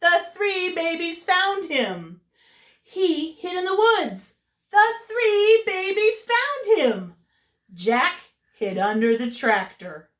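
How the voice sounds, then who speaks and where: A woman reads a children's story in a high, very lively voice at an unhurried pace, like a kindergarten teacher. She is chatty and expressive, recorded in a roomy space a little away from the mic. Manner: animated, chatty, giggly asides. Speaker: kindergarten teacher reading aloud. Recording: roomy echo, distant microphone.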